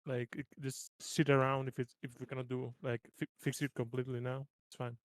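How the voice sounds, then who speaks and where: A man's voice: mid-range voice, little pitch movement, a little fast. Brief: male game player, middle-register voice, informal suggestions at the table, no room echo. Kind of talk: casual tabletop game planning.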